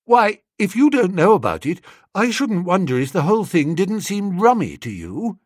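A man's voice: high-pitched, very animated, normal speed, no echo, clean studio sound, voice pushed up. A man voicing a mild, silly young lord with an upper-class English accent, apologetic and chatty, in a comic audiobook. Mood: chatty, eager.

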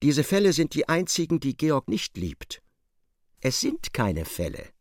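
Casting: articulate man for literary radio-play narration, lively and expressive, dry close-miked studio delivery. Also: on the high side, unhurried, no room sound. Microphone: close studio microphone.

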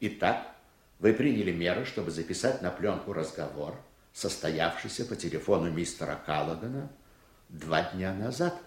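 A man's voice: low voice, lively intonation, normal speed. An actor playing a stern, irritable male judge in a radio play, speaking formally and with authority. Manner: formal, authoritative courtroom delivery. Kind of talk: Soviet radio play acting. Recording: roomy, echoing radio drama recording.

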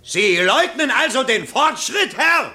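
An actor in a 1970s German radio play, playing a pompous yet anxious election candidate with theatrical oratory. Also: male voice, very high-pitched, big pitch swings, slow pace, sharp tone, heavily acted. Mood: grandiose. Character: pompous, anxious male election candidate rehearsing and declaiming speeches.

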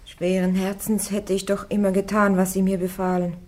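An actress playing a devout young woman warrior on trial, answering her judges firmly and calmly in a radio drama. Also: medium pitch, moderate pace, lightly acted. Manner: firm, calm, defiant answers.

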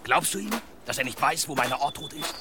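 A man in a German radio drama playing a gruff, demanding village blacksmith and worried father, brusque and impatient. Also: fairly high pitch, fairly quick, noisy background, lightly acted. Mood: suspicious, urgent.

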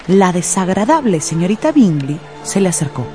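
A woman narrating a literary radio drama in an expressive, deliberate storytelling style. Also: on the low side, faint music behind, some chatter behind.

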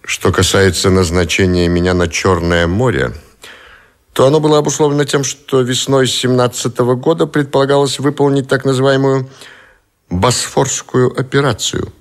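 An actor playing a captive middle-aged admiral who gives formal, measured, dignified testimony in a radio play. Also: male voice, medium pitch, flat delivery, natural voice. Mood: formal, composed.

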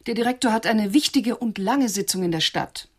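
Actress as formal, guarded office secretary. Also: female voice, medium pitch, animated, brisk, close to an everyday voice. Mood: politely dismissive. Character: formal, guarded female director's secretary, politely dismissive. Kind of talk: 1990s German radio play acting.

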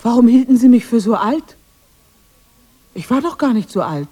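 Mellow-voiced lonely old forest witch. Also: on the high side, lively intonation, moderate pace, husky. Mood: plaintive, defensive. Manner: plaintive, self-justifying. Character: lonely, misunderstood old witch of the woods, defending herself. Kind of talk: radio drama monologue.

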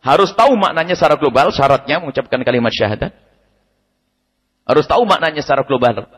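A man giving a lively, emphatic religious study lecture, repeating his key points and chuckling now and then. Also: a little high, fast, slightly rough. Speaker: preacher. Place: study gathering hall. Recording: radio broadcast with long hall reverb.

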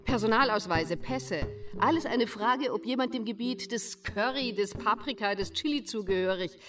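An actress in a radio play as a keen, persuasive woman pitching an idea in clipped, list-like phrases. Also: moderate pace, a bit bright, lightly acted. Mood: enthused, listing. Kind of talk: German radio play monologue. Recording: distant from mic, faint music.

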